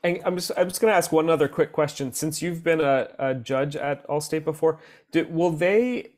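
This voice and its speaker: A man speaking conversationally as a choir teacher asking questions, halting as he searches for words. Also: a little high, darkish tone. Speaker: choir teacher attending the session.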